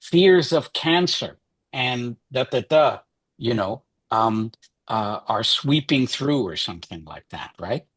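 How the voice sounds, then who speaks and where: Male workshop presenter, slightly husky, dry close sound, chatty teacher with lots of "you know" and "right?". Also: mid-range voice, expressive, slow pace, fairly bright tone, no echo. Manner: chatty, many fillers and restarts, asks "right?".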